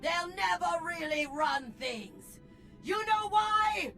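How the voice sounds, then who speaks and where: An actress playing a bold, charismatic female gang leader in a video game, with a declamatory, deliberate, rallying delivery. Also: extremely high pitch, animated, sharp tone, faint music behind, heavily acted. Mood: defiant, assured. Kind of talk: theatrical video game speech.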